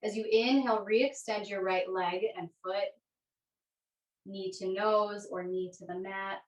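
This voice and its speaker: A woman teaching a yoga class, giving gentle, unhurried guided instructions. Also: medium pitch, fairly even intonation. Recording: echoey room, distant microphone.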